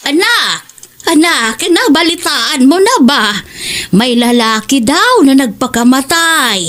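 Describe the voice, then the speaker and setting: A woman's voice: high voice, animated, takes it slowly, fairly bright tone, soft background music, full character voice. A woman playing a superstitious, vengeful older Filipino mother in a horror audio drama, speaking in a dramatic, insistent way. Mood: excited, vindicated.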